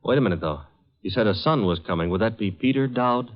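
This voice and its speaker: An actor with an American accent playing a cocky, sardonic detective's assistant, slangy and wry, in 1950s radio drama style. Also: male voice, on the low side, expressive, fast, lightly acted. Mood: cynical, brisk. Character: wisecracking male private eye assistant, cocky, sardonic legman. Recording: dull, muffled 1950s radio recording.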